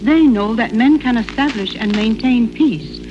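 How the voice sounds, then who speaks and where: A woman's voice: on the high side, a little slow, lo-fi sound, noisy background. An older American woman speaking formally and oratorically as a guest on a scripted 1940s radio broadcast.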